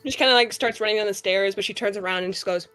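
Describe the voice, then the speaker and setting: A woman's voice: medium pitch, fast, a bit bright, clean tone, faint music behind. A woman playing a tabletop roleplaying game, casually narrating what her character does.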